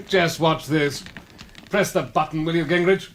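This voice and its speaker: A man's voice: on the high side, slow pace. An actor playing a cocky, amused man, breezy and mocking, in a radio drama. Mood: cocky bravado. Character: cocky, amused British man calling a bluff.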